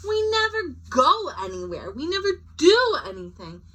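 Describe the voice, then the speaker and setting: Woman putting on a high, moaning storybook Kansas. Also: sing-song, moderate pace, fairly bright tone, full character voice. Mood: whiny, gloomy. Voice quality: whiny, moaning. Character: bored, gloomy male Kansas state, whining then eager. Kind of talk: storybook character voice.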